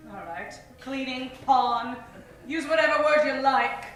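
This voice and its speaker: A woman's voice: high voice, a little slow. A woman acting a sly, sultry femme fatale with a coolly teasing manner in a noir radio parody. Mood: guarded, sly. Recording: echoey, distant live stage recording. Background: faint music.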